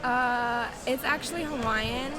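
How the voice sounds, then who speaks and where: A woman in her twenties chatting as a guest, bubbly and quick, full of "like" fillers. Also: fairly high pitch. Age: 20s. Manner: bubbly, quick, lots of likes. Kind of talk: casual interview chat. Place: busy restaurant. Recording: very loud crowd behind.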